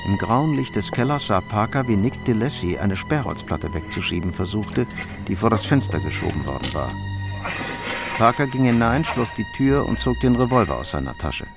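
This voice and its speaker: Crime drama narrator, factual scripted read, low register, music bed. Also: male voice, animated, moderate pace, gravelly. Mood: sober, factual. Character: sober male narrator of a crime story. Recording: music behind the voice.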